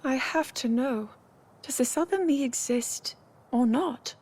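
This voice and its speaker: An actress playing a modest young princess in a video game, speaking in an earnest, polite, hesitant way. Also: female voice, high voice, a little slow, a little mellow, husky. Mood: puzzled. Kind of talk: video game cutscene acting.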